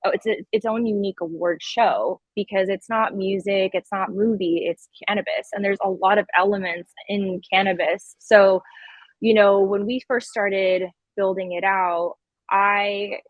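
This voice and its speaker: A woman's voice: medium pitch, fairly even intonation, a little slow, dry recording, narrow, tinny audio. A woman in her thirties from Connecticut chatting informally as a guest in a casual interview. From Connecticut, US East Coast. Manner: informal, conversational. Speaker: podcast guest, event planner.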